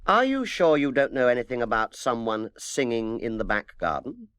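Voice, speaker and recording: Guy doing a little boy from a kids storybook, curious n earnest, bit bossy, pitch jumps around. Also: sing-song, moderate pace, fairly bright tone, clear, resonant tone, no room sound. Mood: questioning. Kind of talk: children's storybook character voice.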